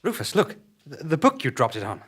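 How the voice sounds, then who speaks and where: A man plays an investigator's wary sidekick in a comic audio drama. His voice is fairly high and lively, with a questioning, slightly hesitant delivery. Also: fairly quick, breathy edge, heavily acted. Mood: puzzled discovery.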